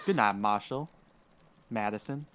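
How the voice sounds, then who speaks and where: A man's voice: fairly low pitch, measured pace, noisy background, natural voice. Pompous Old West villain voiced by a man. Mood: smug, cold. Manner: pompous, cold, indignant. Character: greedy, haughty Old West land grabber. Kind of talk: old-time radio western villain acting.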